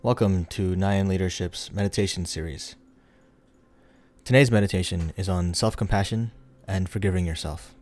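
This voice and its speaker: Deep, mellow man guiding a meditation. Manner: soothing, unhurried, instructional with pauses. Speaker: guided meditation leader. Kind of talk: guided meditation narration. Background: background music.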